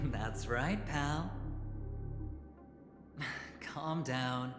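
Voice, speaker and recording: A suave, scheming young male gambler from a video game, speaks slowly in a fairly low register. He is teasing and theatrical, stretching his phrases. His voice rings with reverb over clear background music. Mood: smug, teasing. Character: smooth, scheming young male high-stakes gambler and corporate negotiator. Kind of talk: video game cutscene voice acting. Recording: roomy reverberant sound under loud music.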